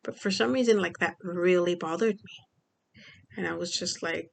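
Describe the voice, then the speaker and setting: A woman's voice: lowish voice, normal speed, creaky. A young woman from Mexico talking casually in a personal vlog, rambling with many fillers. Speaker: vlogger.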